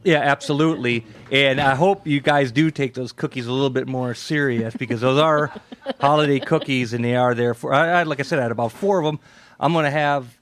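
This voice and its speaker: American guy hosting live radio, rambly and halting, thanks everyone over and over, mid pitch. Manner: halting, repetitive, effusive. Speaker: playwright host of a live radio event. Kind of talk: casual, unscripted thanks and chat.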